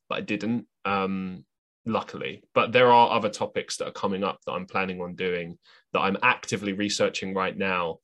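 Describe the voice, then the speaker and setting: A man's voice: lowish voice, a bit bright. A man hosting his own podcast, talking alone in a rambling, earnest, self-deprecating way with plenty of ums and restarts. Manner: reflective, earnest. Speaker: podcast host. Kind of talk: solo podcast monologue.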